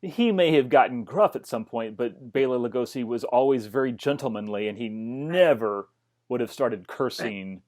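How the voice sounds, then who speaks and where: A man's voice: medium pitch, lively intonation, normal speed, darkish tone. A man in his forties hosting a casual interview from a home study, asking questions with eager, joking asides. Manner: jokey, enthusiastic questioning. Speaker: podcast host. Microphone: earbuds on video call.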